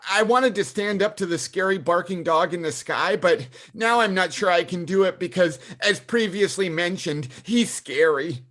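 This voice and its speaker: A man voicing a timid, anxious cartoon dog in a comedy table read, with a slow, halting delivery and a husky voice. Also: high-pitched, a bit bright. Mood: timid, worried. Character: timid anxious male dog talking himself into bravery.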